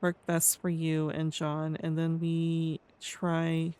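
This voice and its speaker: Roleplay game streamer, medium pitch, casual delivery, speech impediment, stumbles and mumbles a little. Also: monotone, takes it slowly, darkish tone, clear, resonant tone. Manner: tongue-tied, mumbly, stumbles over words. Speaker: streamer playing a roleplay character. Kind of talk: casual game livestream commentary, reading aloud.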